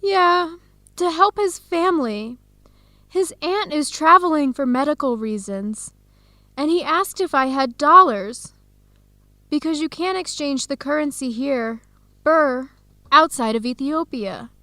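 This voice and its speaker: An actress playing an earnest young Ethiopian-student in a radio drama. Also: female voice, sits high, animated, unhurried, pushed and bright, no room sound, exaggerated voice. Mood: confiding. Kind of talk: radio drama acting, simulated video call.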